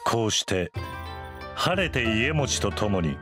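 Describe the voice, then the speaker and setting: Solemn male storyteller for anime comedy: unhurried, drawn-out, varied intonation. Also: lowish voice, very animated, loud music behind the voice. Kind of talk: scripted anime narration.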